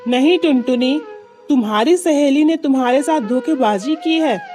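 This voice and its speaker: A woman's voice: sits high, moderate pace, warm tone, faint music behind, lightly acted. A woman voicing a kindly male talking magical tree in a children's cartoon, speaking in an earnest, reassuring way. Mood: earnest, revealing. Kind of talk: children's cartoon story dialogue.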